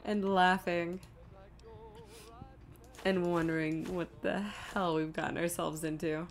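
A woman's voice: on the low side, fairly even intonation, slow, gravelly. A woman giving casual live commentary as she streams a video game, muttering to herself in an exasperated, self-deprecating way.

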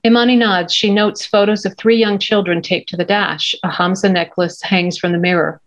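A woman's voice: mid-range voice, fairly even intonation, moderate pace. A middle-aged woman reading screenplay stage directions as narrator on a video call. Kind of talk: scripted narration at a table read. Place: indoor home room, video call. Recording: dull video-call audio.

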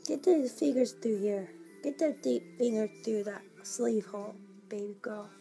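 Hoarse slow-talking woman with gravelly voice. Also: on the high side. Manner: gushing, coos softly to the doll. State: hoarse, coughing. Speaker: reborn doll collector vlogger. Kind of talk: casual chat to camera. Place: home nursery room, indoors. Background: Christmas music playing.

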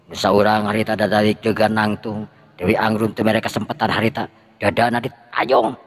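A man with a Sundanese accent tells a folk tale in a lively, dramatic manner. His voice sits low. A long reverb follows his lines. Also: normal speed. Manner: lively, with shouted sound effects. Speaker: storyteller.

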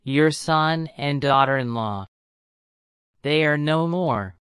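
A woman voicing a worried, hesitant male neighbor in a storybook, low and smooth. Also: no echo, clean studio sound. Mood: grave. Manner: hesitant, halting delivery.

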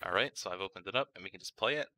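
A man narrating a casual step-by-step software tutorial, informal and thinking aloud as he works. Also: low voice, very fast. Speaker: tutorial host.

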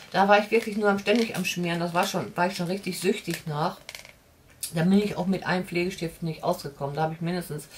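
A woman's voice: lowish voice, distant mic, a little hiss or hum. A woman in her forties chatting casually through a beauty box unboxing at home, rambling with lots of fillers and restarts. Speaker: beauty vlogger. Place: home room with shelves and warm lamps.

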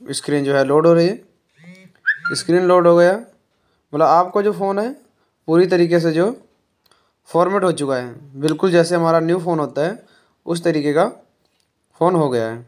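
A man talks through a phone setup in an unhurried, explanatory way. His voice sits a little high for a man. He often pauses on filler words as he moves from step to step. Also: a little mellow. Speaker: tech tutorial presenter.

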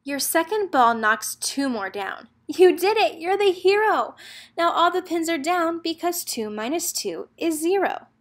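A woman's voice: very high voice, animated, unhurried. A woman narrator reading a children's picture book aloud, expressively and in a rhythmic lilt of rhyming verse.

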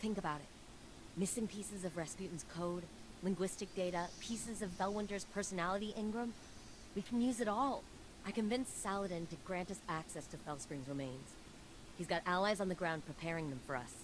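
A woman's voice: on the low side, fairly quick, background noise, lightly acted. A woman voicing a driven young female scientist in a video game cutscene, speaking earnestly, with urgent persuasion. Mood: urgent, hopeful.

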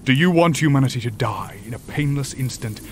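A man voicing a polite, solemn ancient creature with formal, measured speech in a horror audio drama. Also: mid-range voice, expressive, a little hiss or hum, natural voice. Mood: solemn, urgent. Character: polite, solemn ancient male creature, imprisoned and doom-prophesying.

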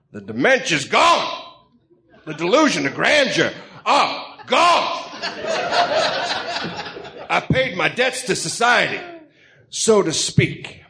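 A flamboyant, pompous Greek tycoon and reformed villain, acted out by a man for a comic radio play. The voice is high for a male and very animated, swooping through grand, self-justifying speeches with a bright, forceful edge. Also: full character voice. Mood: breezy, self-assured. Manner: pompous, theatrical. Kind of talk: comedic read-aloud radio drama.

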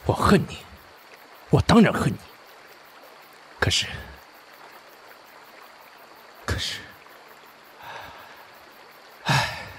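A man's voice: slow, fairly bright tone, slight background noise. A man playing a stern but devoted army officer, terse and brooding, in a radio drama. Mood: anguished. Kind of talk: Chinese fan radio drama acting.

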